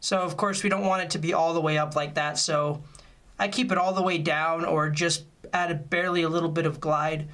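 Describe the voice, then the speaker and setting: A man's voice: a little high, fairly even intonation, a little fast, a bit bright. A man giving a casual step-by-step tutorial in a plain-spoken, explanatory way. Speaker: music gear tutorial presenter.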